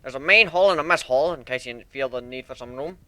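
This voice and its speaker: A man's voice: mid-range voice, brisk, pushed and bright, no echo, close to an everyday voice. A man voicing a boastful, hearty minotaur airship builder in a dramatic story reading, with a brash, folksy way of talking. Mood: brisk, instructing. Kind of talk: dramatic fan fiction reading.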